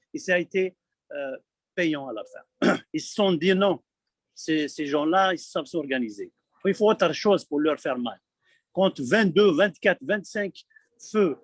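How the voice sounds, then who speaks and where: A man from Kabylie speaking abroad, giving an urgent, halting testimony full of repeated words. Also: a little high, fairly bright tone, no room sound. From Kabylie, Algeria. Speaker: community member abroad. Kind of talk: impassioned testimony.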